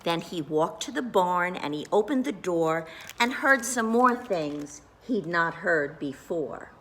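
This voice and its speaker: Unhurried woman reading a children's book. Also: lowish voice, animated, a bit bright, slight background noise. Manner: playful, slows down before each animal sound and quizzes young listeners. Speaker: children's librarian. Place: library children's department.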